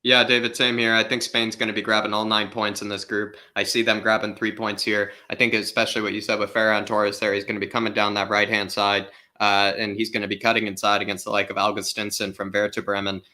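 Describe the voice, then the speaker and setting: A man's voice: fairly low pitch, little pitch movement, fast, few pauses, sharp tone. A man from the United States, co-hosting a football watchalong and giving rambling analysis. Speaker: co-host. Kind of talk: casual football chat.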